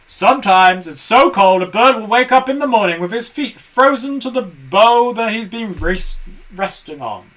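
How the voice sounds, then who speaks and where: A woman doing a calm, knowing storybook bird. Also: fairly high pitch, moderate pace, voice pushed up, low-quality recording. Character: wise gentle storybook bird.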